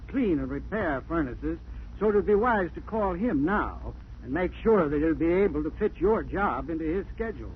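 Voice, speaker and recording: Old timey radio ad guy, formal and earnest, high pitched for a man, thin scratchy sound. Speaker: sponsor's heating expert. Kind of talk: 1940s radio advertisement, scripted pitch. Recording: scratchy, tinny 1940s radio broadcast.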